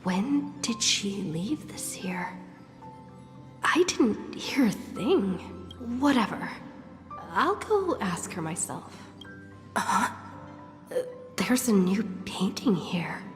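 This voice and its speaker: Lively, slow-spoken young adventurer. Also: female voice, medium pitch, big-room sound. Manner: musing aloud, breezy. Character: casual, curious young adventurer woman. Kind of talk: video game voice acting.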